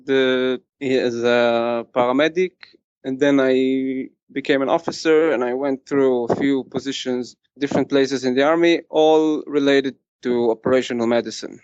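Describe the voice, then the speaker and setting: A man speaks in a smooth, resonant voice that sits close to the mic with almost no room sound. His English comes haltingly, full of pauses and restarts, and the recording sounds a little dull and muffled. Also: mid-range voice, fairly even intonation, darkish tone, no room sound. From Israel. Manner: halting, many fillers and restarts, non-native phrasing. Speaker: interview guest, tailoring business owner. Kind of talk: casual interview talk.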